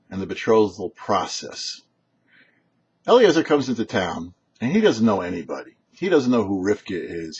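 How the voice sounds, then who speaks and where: Husky, expressive male rabbi teaching. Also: medium pitch, lo-fi sound. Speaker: rabbi hosting a weekly Torah commentary show. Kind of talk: Torah teaching, explanatory short sermon.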